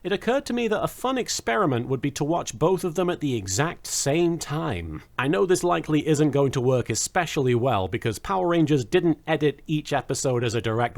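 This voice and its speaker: A man hosting a video review in casual scripted commentary, with wry, tongue-in-cheek asides. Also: medium pitch.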